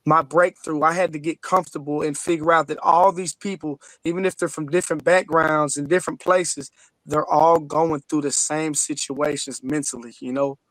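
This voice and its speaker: A man with a fairly high voice shares personal experience in casual interview talk. His delivery is informal and halting, with small check-in tags. The recording is dry. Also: steady tone, fairly bright tone, no room sound, rough recording. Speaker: podcast guest.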